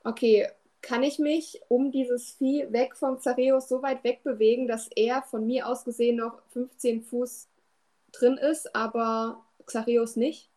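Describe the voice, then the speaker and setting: Slightly high-voiced woman, tentative game player. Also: fairly even intonation, a little slow, dark tone. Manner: tentative, questioning. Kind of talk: casual game chat.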